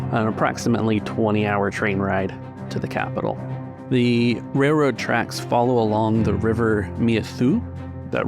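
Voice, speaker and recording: A man's voice: on the low side, creaky. A man narrating a tabletop roleplaying game as its game master.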